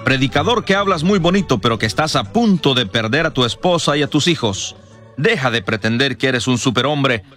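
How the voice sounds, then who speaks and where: A man's voice: medium pitch, animated, brisk, fairly bright tone, faint music behind. A man opening a program as host, addressing the listener directly in a scripted, earnest introduction.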